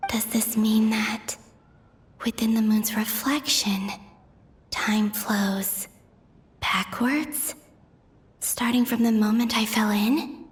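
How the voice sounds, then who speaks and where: A woman playing a gentle, anxious young moon maiden in a video game, musing in a hushed, hesitant way. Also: fairly high pitch, steady tone, slow pace, pauses often. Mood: hushed, troubled. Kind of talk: video game dramatic voice acting. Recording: music behind.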